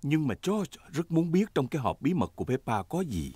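A man narrating a children's cartoon in a gentle storybook manner. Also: fairly high pitch, big pitch swings, brisk, slightly rough, no echo. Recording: narration over loud music.

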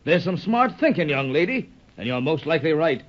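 A hearty high-pitched sea captain, muffled recording. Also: male voice, very animated, fairly quick. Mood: hearty, resolute. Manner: salty nautical talk, emphatic. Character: hearty, steady old-time sea captain. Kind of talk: 1930s radio serial acting. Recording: muffled vintage 1930s radio recording.

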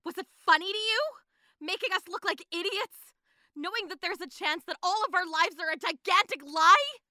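A woman playing a fierce, blunt young female warrior in an animated drama, with an intense manner. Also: very high voice, normal speed, no echo, clean studio sound, full character voice. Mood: angry. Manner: accusatory, intense.

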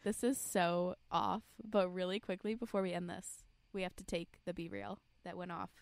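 A woman's voice: on the low side, lively intonation, moderate pace, no room sound. A young woman chatting casually as the co-host of a podcast. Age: young adult. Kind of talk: casual chat.

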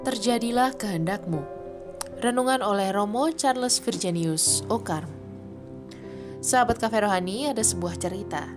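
A woman reading a scripted devotional reflection in a calm, gentle, earnest way. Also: mid-range voice, normal speed. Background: music.

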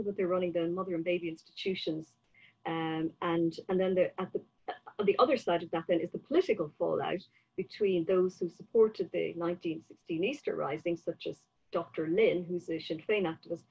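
An older woman with a husky voice, asking prepared questions in a literary interview over a video call, with slightly dull sound. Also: fairly low pitch, a little mellow. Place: book-lined room at home, indoors. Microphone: computer mic on a video call.

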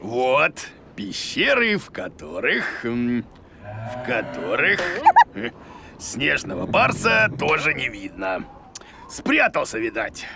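A man voicing an excitable, grumbly, bumbling cartoon creature who guides children, theatrical and full of hesitations and exclamations. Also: mid-range voice, takes it slowly, creaky, very noisy, exaggerated voice. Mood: excited, eager. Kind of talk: children's educational cartoon acting.

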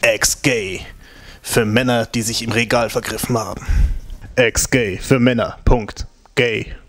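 Man as self-important macho ad voice, very slow, medium pitch. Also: fairly even intonation, fairly bright tone. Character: emphatic macho male deodorant commercial announcer. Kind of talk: parody commercial voice-over.

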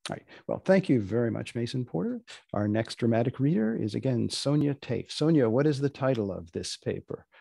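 A man's voice: mid-range voice, big pitch swings, fairly quick, creaky, dark tone, husky, dry recording, clean studio sound. A man hosting and moderating a session of readings on a video call. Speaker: host of dramatic readings session. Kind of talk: moderating a panel. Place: indoor room on a group video call.

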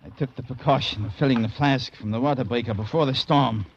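An actor playing a cunning seaman, self-justifying and measured, in a radio drama. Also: mid-range voice, husky. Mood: smug. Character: cunning, smug German U-boat seaman. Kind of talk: classic radio drama acting. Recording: dull, noisy vintage radio recording.

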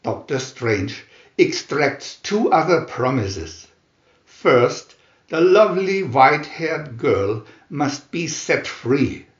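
A man's voice: a little high, sing-song, highs cut off. Male narrator for comic-book tale: theatrical, swooping delivery, echoey room. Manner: theatrical, measured. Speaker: narrator reading a picture booklet. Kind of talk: dramatic scripted storybook narration.

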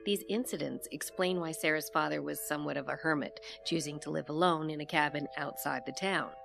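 A woman acting as an investigator who narrates a mysterious case in a measured first-person audio drama. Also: low voice, creaky, over music. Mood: measured, reflective.

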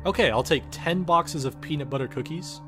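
A man's voice: fairly high pitch, brisk. A man performing an exasperated, foul-mouthed space engineer in a comedic game parody, with a sarcastic, ranting delivery. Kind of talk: comedic scripted parody dialogue. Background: game music.

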